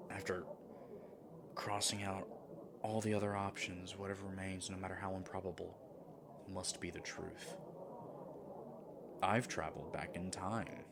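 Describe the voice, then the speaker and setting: A man performing an audio roleplay monologue, earnest and reflective, sometimes dropping to a hushed whisper. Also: deep voice, noisy background.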